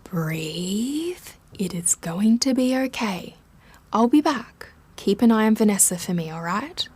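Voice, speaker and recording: A woman playing an evasive but soothing dead friend in an audio drama, speaking in a measured, guarded way. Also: mid-range voice, expressive, a little mellow, breathy edge.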